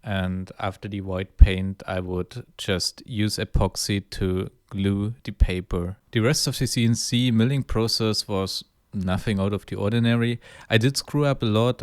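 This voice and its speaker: Low, smooth-voiced man explaining a build. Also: no echo, studio quality. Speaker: hobby guitar builder narrating a build video. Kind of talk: casual explanatory voice-over.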